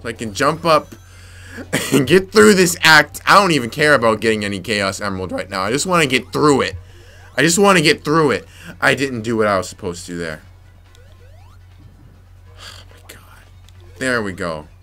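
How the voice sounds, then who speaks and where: Young male let's-player, raspy from yelling, bright voice, faint game music underneath. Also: medium pitch, expressive, moderate pace, pauses often. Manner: frustrated, muttering to himself, sudden yelps. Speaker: gameplay commentator. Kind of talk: let's play commentary.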